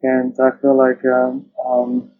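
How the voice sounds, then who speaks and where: A man's voice: mid-range voice, completely flat delivery, slow. Muffled, noisy recording of a hesitant man. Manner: hesitant, repeats words, searching phrasing. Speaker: interview guest, literary translator. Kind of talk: conversational interview answers. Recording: dull lo-fi sound, loud-ish background noise.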